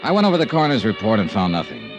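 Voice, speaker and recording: A man's voice: fairly low pitch, wildly swooping pitch, rapid-fire, lo-fi sound, loud music behind the voice. An American man acting a skeptical, dogged insurance investigator in an old-time radio detective drama, with a probing, clipped, wry way of talking. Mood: brooding narration. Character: skeptical, dogged male insurance investigator, hard-boiled and dry. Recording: vintage radio recording.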